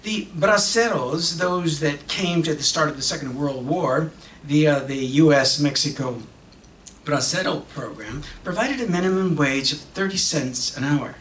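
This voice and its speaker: A man's voice: a little high, expressive, a bit bright. Distant, echoey man acting as an earnest magazine publisher. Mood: earnest, proud. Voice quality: bilingual, slipping between English and Spanish. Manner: deliberate, halting delivery in short phrases with pauses. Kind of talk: dramatic reading of a monologue on stage. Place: stage of an auditorium. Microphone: stand mic at lectern, recorded by distant camera. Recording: distant, echoey auditorium with background noise.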